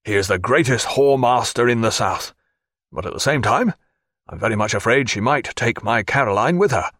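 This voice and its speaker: A husky, high-pitched merchant frets and fusses in a voice that leaps up and down. He pleads and grumbles by turns, easily worked up, as a narrator puts him on. The recording is close and dry. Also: fairly quick, no room sound, heavily acted. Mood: confiding. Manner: fussy, excitable, pleading. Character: fretful middle-aged Boston merchant man. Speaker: former loyalist and merchant.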